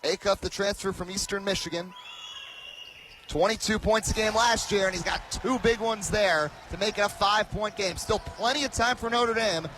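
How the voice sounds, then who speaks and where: A man in his twenties doing live radio play-by-play of basketball, with excitable, dramatic calls that rise into shouting. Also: high-pitched, moderate pace, background noise. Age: 20s. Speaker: play-by-play commentator. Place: basketball arena.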